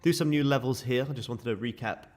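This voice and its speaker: A man with a sore, croaky throat, casually walking viewers through live trading charts. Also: medium pitch, fast talker. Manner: casual, chatty explaining to viewers. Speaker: day trading streamer.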